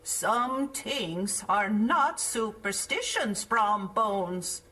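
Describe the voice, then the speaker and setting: A woman's voice: mid-range voice, lively intonation, drawn-out words, bright, forceful tone, husky, exaggerated voice. A woman playing a solemn, superstitious village woman in a theatrical audio drama. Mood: eerie, solemn.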